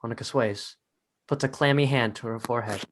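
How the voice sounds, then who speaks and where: A man reads scripted narration in a medium-pitched voice. He serves as narrator, delivering stage directions for a dramatic reading. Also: brisk.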